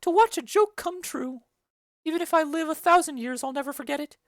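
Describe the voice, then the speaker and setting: A woman voicing a chattering, bawdy old female nurse in a dramatic reading of a play, rambling and loud. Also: high-pitched, brisk, no echo, clean studio sound, lightly acted. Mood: gossipy. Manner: loud, rambling, giggly storytelling.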